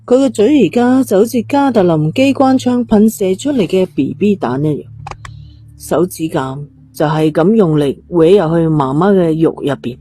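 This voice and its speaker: Mellow Cantonese woman narrating a story. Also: fairly low pitch, animated, normal speed, breathy edge. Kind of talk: scripted dramatic narration of a suspense novel. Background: faint music.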